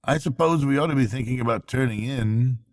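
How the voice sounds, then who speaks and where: A man's voice: medium pitch, a little fast, voice pushed up, natural voice. A man voicing a worried, kindly water rat who speaks in an earnest, confiding way, as in a bedtime storybook. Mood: resolved. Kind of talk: bedtime storybook character reading.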